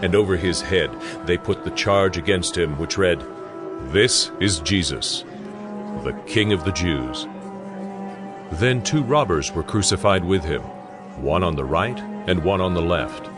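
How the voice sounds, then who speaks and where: Deep-voiced man narrating scripture solemnly. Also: lively intonation. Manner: solemn, measured storytelling. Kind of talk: dramatized audio Bible narration. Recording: music under the narration.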